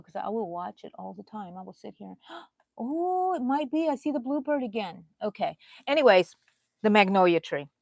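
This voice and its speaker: A middle-aged woman from Texas, hosting a crochet live stream in a casual, chatty way, often jumping between loud talk and soft whispers. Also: medium pitch, gravelly, dry recording. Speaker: crochet channel host.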